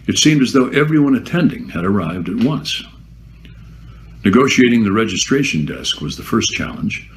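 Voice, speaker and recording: A man with a very low voice reads a first-person memoir aloud. His narration is measured, with a dry, wry edge. A faint noise sits under the recording. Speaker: guest reader.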